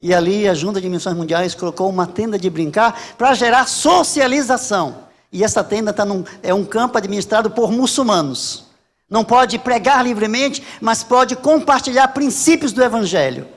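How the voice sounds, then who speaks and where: High-pitched Brazilian preacher in a reverberant hall. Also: male voice. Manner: emphatic repetition with deliberate pauses. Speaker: Baptist pastor preaching. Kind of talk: sermon. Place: large church hall during a service.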